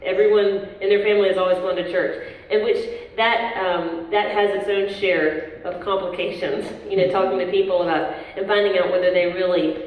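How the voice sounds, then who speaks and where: A young woman with a Southern American accent, a worship leader chatting casually in an interview, self-deprecating and quick to laugh. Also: mid-range voice, fairly even intonation, phone-quality sound. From southern United States. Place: large hall at a worship event. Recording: echoey hall, voice from far off, faint noise.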